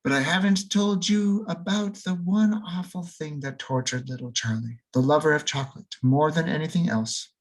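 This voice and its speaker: A man reading a children's storybook aloud, slowing down and lingering on key words, with free swings in pitch and loudness for emphasis. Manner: expressive, lingers and slows on key words for effect. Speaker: audiobook reader.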